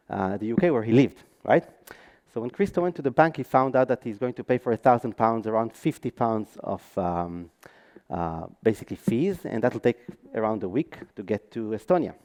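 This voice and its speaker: A man's voice: medium pitch, darkish tone. A man from Israel with an Israeli accent, speaking on stage at a conference with frequent ums and restarts. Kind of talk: conference talk.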